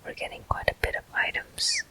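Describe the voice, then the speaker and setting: A person whispering ASMR gameplay commentary as the host of a walkthrough, in a breathy, unvoiced, gentle and deliberate way. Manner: slow, gentle, deliberate delivery.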